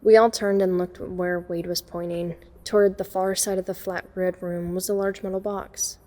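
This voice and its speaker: A woman's voice: fairly low pitch, level tone, moderate pace, warm tone, lightly acted. A woman playing a watchful young woman who narrates a night break-in in a scripted audio drama. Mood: measured, suspenseful. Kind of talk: scripted first-person narration.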